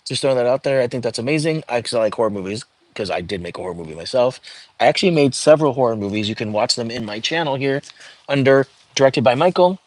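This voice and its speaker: A man hosting a casual live stream, chatting and reading viewer comments aloud with lots of fillers and restarts, in a calming voice. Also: medium pitch, quick pace, no room sound, low-quality recording. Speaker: livestream host.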